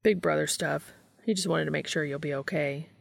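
An easygoing, caring boyfriend in an audiobook story, read by a woman in a low register with a creaky edge. The talk is casual, slangy and lightly joking. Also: a little fast, dry recording, studio quality, heavily acted. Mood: reassuring.

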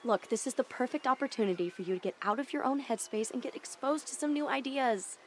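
A woman's voice: fairly high pitch, lively intonation, fast, a little hiss or hum, close to an everyday voice. An actress playing an upbeat, free-spirited young woman with a breezy, persuasive way of talking.

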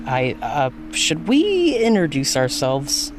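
A woman's voice: deep voice, huge pitch swings, takes it slowly, slight background noise, full character voice. A woman playing a friendly, casually awkward companion in a naturalistic audio drama. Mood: awkward, reassuring.

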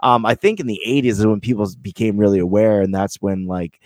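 A man's voice: lowish voice, quick pace, darkish tone, dry recording, clean studio sound. A man from upstate New York, hosting a casual chat in a loose, profane, joking way. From Poughkeepsie, New York.

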